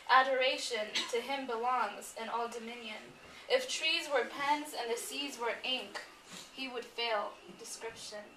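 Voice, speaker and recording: A woman's voice: a little high, fairly bright tone. A woman speaking to a gathering with a heartfelt, solemn manner, as at a poetry reading. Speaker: poetry reader at a memorial gathering. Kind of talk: reciting poems to an audience. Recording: roomy, distant mic, some background noise.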